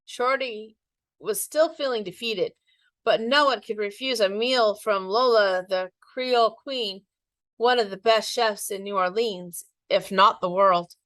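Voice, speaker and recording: A woman reading a children's picture book aloud in an expressive, teacherly storytelling way. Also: medium pitch, measured pace, dry recording.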